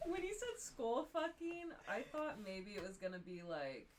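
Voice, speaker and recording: A young woman co-hosting a podcast, chatting casually in an expressive, joking way. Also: on the high side, measured pace, very noisy. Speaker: podcast co-host. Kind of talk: casual chat, reacting to a reading.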